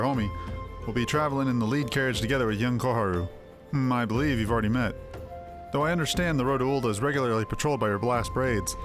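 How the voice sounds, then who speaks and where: A man voicing a courteous, earnest family man in a fantasy video game, speaking in formal, measured, polite phrases. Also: mid-range voice, natural voice. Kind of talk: video game character dialogue. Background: game music.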